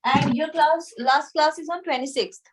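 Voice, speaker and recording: A woman in her thirties with an Indian accent, teaching an informal online class in a halting way, checking in as she goes. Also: high voice, slight background noise. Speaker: anatomy teacher at a yoga school. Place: small room with a whiteboard, indoors. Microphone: device camera a short distance away, video call.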